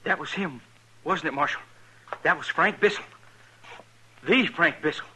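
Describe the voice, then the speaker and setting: Nervous high-strung barber, bright nasal edge, stammers, old radio. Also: male voice, unhurried. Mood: excited. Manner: stammering, jittery, excitable. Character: nervous timid male town barber. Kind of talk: 1950s radio drama acting. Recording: old scratchy radio recording.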